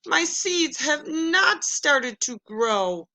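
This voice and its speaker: A woman voicing an impatient, earnest male toad in a children's storybook, speaking with loud, emphatic exclamations. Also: high voice, big pitch swings, drawn-out words, voice pushed up, heavily acted, low-quality recording. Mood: frustrated, worried. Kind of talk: children's storybook read-aloud.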